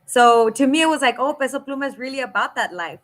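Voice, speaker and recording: A woman's voice: high voice, expressive, fast talker, fairly bright tone. A woman hosting a podcast, talking casually and emphatically, giving her opinion and dropping in Spanish words. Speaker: podcast host. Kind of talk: casual chat.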